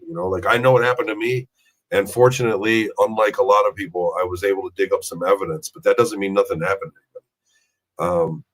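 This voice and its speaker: Man, hoarse from a cold, fairly low voice, earnest, rambling, lots of fillers. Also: poor audio. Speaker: guest. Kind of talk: casual interview talk.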